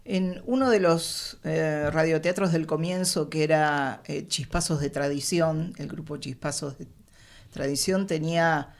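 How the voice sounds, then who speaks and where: Low-voiced Argentine woman, halting and reflective. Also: fairly even intonation. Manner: halting, searching for words, emphatic. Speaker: radio theatre specialist, interview guest. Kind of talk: reflective spoken interview answers.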